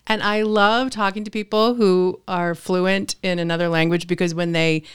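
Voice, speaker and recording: An American woman co-hosting a casual interview, bubbly and chatty with plenty of enthusiasm. Her voice is smooth and clean, recorded dry and close with no room sound. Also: on the low side, steady tone, normal speed, no room sound. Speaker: co-host.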